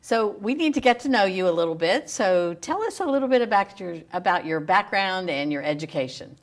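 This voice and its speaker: A woman's voice: mid-range voice, expressive, fairly quick. A woman hosting a local TV health program, interviewing guests in an upbeat, encouraging way. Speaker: TV health show host.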